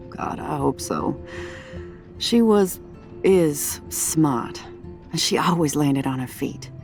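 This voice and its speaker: A woman with an American accent playing a warm, wry middle-aged mother in a video game, talking in a folksy, motherly, teasing way. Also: low-pitched, lively intonation, slow pace. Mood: wistful, hopeful. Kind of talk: video game acting, conversational dialogue. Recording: music under the voice. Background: background music.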